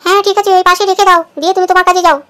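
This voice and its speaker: A woman playing a bossy working wife who gives brisk orders. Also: very high-pitched, level tone, bright, forceful tone. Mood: curt. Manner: brisk, commanding. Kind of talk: comedy sketch acting.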